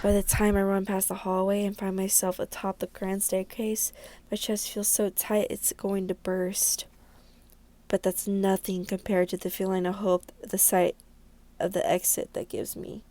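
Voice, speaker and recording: A woman's voice: mid-range voice, completely flat delivery, measured pace, warm tone. A young woman hosting a let's play, reading game narration aloud and commenting in an informal, reactive way. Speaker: gaming video host.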